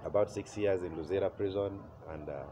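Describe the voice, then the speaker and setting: Unhurried Ugandan man in his forties. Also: low-pitched, mellow. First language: Acholi. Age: 40s. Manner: unhurried, pausing with "uh", chuckling at memories. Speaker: interview guest. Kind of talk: relaxed life-story telling. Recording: slightly muffled with faint noise.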